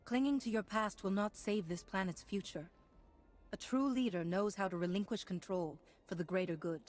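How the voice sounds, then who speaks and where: A woman voices a principled Jedi heroine for a game cutscene. Her medium-pitched delivery is measured and assured, carrying quiet authority, with faint music underneath. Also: natural voice. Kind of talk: video game cutscene acting.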